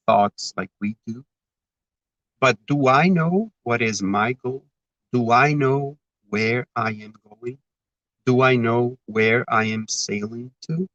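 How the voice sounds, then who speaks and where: A man's voice: mid-range voice, fairly bright tone, no echo, lo-fi sound. A man from Brazil with a Brazilian Portuguese accent, giving a reflective, digressive spiritual talk as a spiritist speaker. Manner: digressive, thoughtful, self-aware about repeating himself.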